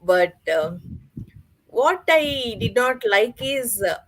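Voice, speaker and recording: A woman talking casually about books as a panelist, in a hesitant, halting way with pauses. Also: on the high side, animated, no echo, low-quality recording. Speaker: book club panelist. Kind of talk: casual book discussion.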